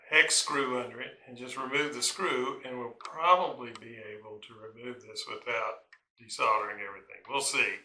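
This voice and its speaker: Guy doing a casual repair video, slow and halting, bright voice, bit of background noise. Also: medium pitch, low-quality recording. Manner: hesitant, halting delivery with pauses. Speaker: radio repair hobbyist hosting a how-to video. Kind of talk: casual unscripted repair walkthrough.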